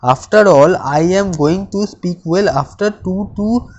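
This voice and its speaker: A speaker, reading self-affirmations aloud slowly and deliberately, stammering with repeated words and restarts. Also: mid-range voice, a little mellow, muffled recording. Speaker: speech practice coach.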